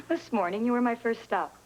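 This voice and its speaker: A woman's voice: a little high, very animated, normal speed, slight background noise, low-quality recording. An actress playing a confident businesswoman who speaks in a cool, candid, amused way. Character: poised, confident woman business owner. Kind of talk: 1980s TV soap acting.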